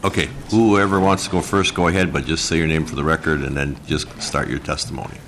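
Very deep-voiced committee chair. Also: male voice, fairly even intonation, fairly bright tone, slight background noise. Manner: brisk, procedural. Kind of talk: chairing a hearing. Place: legislative hearing room.

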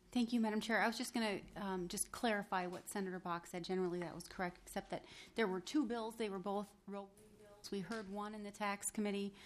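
A woman with a somewhat low voice speaks in a legislative debate. She is polite and matter-of-fact as she clarifies a point for the chair. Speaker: state senator.

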